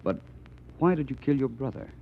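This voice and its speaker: A man's voice: mid-range voice, expressive, a little fast, a little hiss or hum, natural voice. An actor playing an unflappable, urbane male private detective with wry, formal wording, in 1940s radio mystery style. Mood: wry, unruffled. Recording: muffled vintage radio recording.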